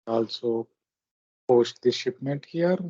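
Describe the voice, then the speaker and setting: A man with a mellow voice presents a software walkthrough at a slow pace. He goes step by step in short, halting phrases. The recording is dry and close. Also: medium pitch, no echo. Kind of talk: step-by-step screen demonstration narration. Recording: dull, lo-fi close microphone recording.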